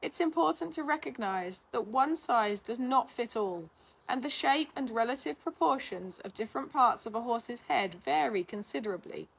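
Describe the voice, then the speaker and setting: A woman narrates a written article in a fairly high voice with a husky, less clear edge. Her delivery is measured and instructional, as a reader explaining material to listeners. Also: expressive, low-quality recording. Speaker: reader of a blog, physiotherapist. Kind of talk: scripted narration, reading an article aloud.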